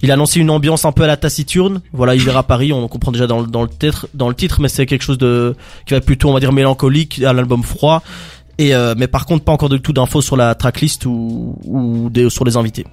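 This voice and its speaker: Fast-talking man presenting rap news. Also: mid-range voice, steady tone, a little mellow. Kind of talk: casual panel talk presenting music release news.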